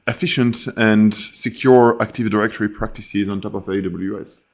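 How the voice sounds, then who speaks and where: A man's voice: mid-range voice, a little mellow, lo-fi sound. French-accented man presenting a tech webinar. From France.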